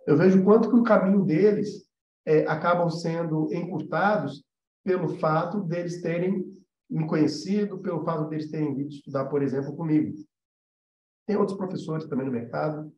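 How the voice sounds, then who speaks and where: Mellow Brazilian man teaching, roomy sound. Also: fairly high pitch. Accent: Brazilian Portuguese. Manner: conversational, explanatory, frequent check-in tags. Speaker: animation teacher and mentor. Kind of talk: informal livestream lesson. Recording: roomy echo, dull muffled sound.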